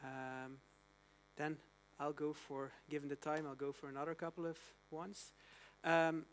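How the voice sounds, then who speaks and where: A man's voice: fairly high pitch, level tone, measured pace, slight background noise, poor audio. A man moderating an academic discussion, asking long questions with many fillers and restarts.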